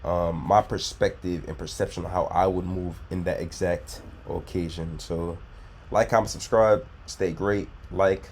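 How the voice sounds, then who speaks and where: A man's voice: sits low, fairly even intonation, unhurried, darkish tone, a little hiss or hum. A man hosting a reaction video, giving blunt, profane, preachy dating advice in a casual way.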